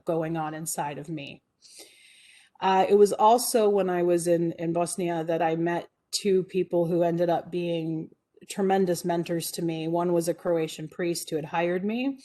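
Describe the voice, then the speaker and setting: Woman, 40s, guest speaker: lowish, mellow voice, earnest and reflective storytelling. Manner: earnest, reflective, with frequent fillers and restarts. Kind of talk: personal storytelling talk.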